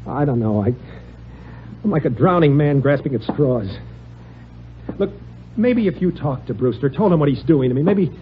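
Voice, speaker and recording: A man acting desperate man afraid of the dark, halting, repetitive, highly animated intonation, old radio drama, muffled noisy recording. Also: natural voice. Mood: agitated. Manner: halting, melodramatic, repeats himself. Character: desperate, panic-stricken man tormented by fear of darkness.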